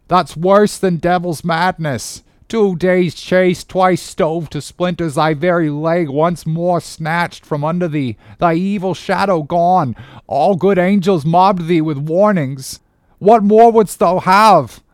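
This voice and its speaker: A man acts out a devout whaling first mate in anguish. His voice is pushed high and hard, impassioned and exclamatory, pleading in old biblical-sounding speech. The storybook reading is dry and close. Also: slow, no echo. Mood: desperate protest. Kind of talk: dramatic audiobook character reading.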